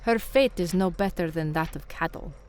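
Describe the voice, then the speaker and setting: A woman's voice: fairly low pitch, normal speed, darkish tone, slight background noise, close to an everyday voice. An actress playing a menacing, imperious woman crime boss in a radio drama, speaking in formal, haughty, clipped phrases. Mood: cold menace.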